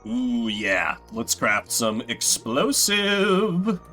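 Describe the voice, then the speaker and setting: Animated man streaming a game. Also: mid-range voice, drawn-out words, soft background music. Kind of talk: solo-voiced video game playthrough with casual commentary.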